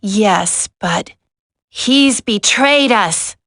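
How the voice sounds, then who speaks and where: An actress playing a brave, gentle female teammate in a video game drama, speaking in a halting, earnest way. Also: medium pitch, expressive, no echo, studio quality, full character voice. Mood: grave, hesitant.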